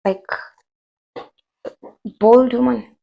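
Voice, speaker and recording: A woman talking casually to her viewers, chatty and rambling with many fillers, her voice hoarse from a cold. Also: fairly high pitch, steady tone, extremely slow, narrow, tinny audio. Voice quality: husky, gravelly. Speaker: vlogger. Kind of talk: casual commentary to camera.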